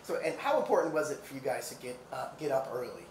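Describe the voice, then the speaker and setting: A man's voice: mid-range voice, very animated, fast talker, far from the mic. A man moderating a post-game press conference with casual sports questions. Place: indoor press conference room. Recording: echoey, off-mic, faint room noise.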